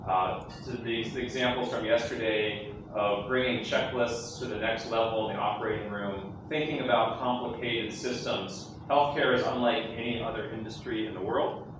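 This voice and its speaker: A man's voice: fairly low pitch, steady tone, moderate pace. A man giving closing remarks at a conference, emphatic and enthusiastic, often repeating phrases. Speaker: conference co-presenter. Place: large conference hall. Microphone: handheld mic that keeps cutting out. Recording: echoey hall, distant from mic, background noise.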